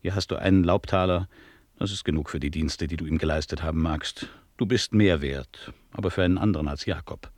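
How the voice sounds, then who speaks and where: An actor playing a worldly police lieutenant in a German radio play, commanding and ironic in manner. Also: male voice, rumbling low, a little fast, dry recording, studio quality. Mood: wry, knowing. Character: worldly police lieutenant, authoritative and wryly knowing.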